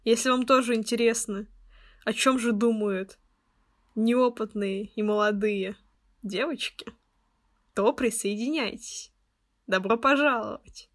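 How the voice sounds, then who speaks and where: A young woman in her twenties hosts a podcast with her friends, with a fairly high voice. She talks quickly and playfully and slips into cheerful exaggeration. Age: 20s. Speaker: podcast co-host. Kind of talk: casual podcast chat.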